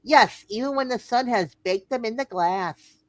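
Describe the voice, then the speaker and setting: A woman's voice: a little high, a little slow, no room sound, studio quality. A woman announcer reading old-time radio sponsor spots in a playful, hammy style.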